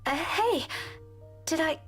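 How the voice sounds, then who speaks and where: Game role: frightened but tender young woman, high voice, faint music behind. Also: lively intonation, slow, heavily acted. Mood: tender, resigned. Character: frightened young woman facing death, tender toward childhood friend. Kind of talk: visual novel game voice acting.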